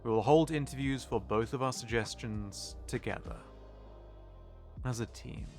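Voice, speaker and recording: A man playing a polite, diplomatic mafia twin in an audio roleplay, speaking formally and with measured courtesy. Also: medium pitch, darkish tone, faint music behind, close to an everyday voice. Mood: firm, diplomatic.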